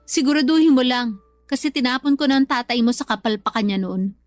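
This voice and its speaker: Woman acting as a high-voiced, scolding Filipino mother. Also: fairly quick, dry recording, clean studio sound, close to an everyday voice. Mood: cold, threatening. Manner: scolding, sarcastic, domineering. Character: harsh, selfish, money-minded mother scolding her grown child. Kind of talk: Tagalog radio drama acting.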